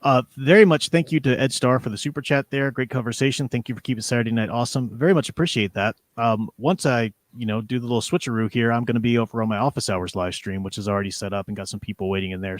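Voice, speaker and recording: A man's voice: mid-range voice, quick pace, no echo. A man hosting a casual livestream, chatting informally with his co-host and addressing viewers directly.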